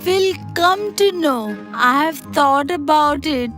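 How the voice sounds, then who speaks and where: Woman playing high-pitched, bossy older mother-in-law. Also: expressive, a bit bright, exaggerated voice. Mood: resolute. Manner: scolding, slow, deliberate delivery. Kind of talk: animated moral story dialogue. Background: music.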